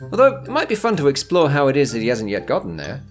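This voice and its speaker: A man's voice: medium pitch, wildly swooping pitch, fast. A man narrating a scripted, humorous video essay in a wordy, theatrical and sarcastic way. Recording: music behind the voice. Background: background music.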